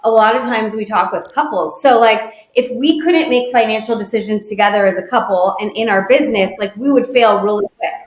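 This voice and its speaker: A woman's voice: fairly high pitch, fairly quick, hardly any pauses. A woman, a guest in an interview, explaining in an earnest way with a lot of "like". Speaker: guest, wealth manager. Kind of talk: casual interview talk. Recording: roomy echo.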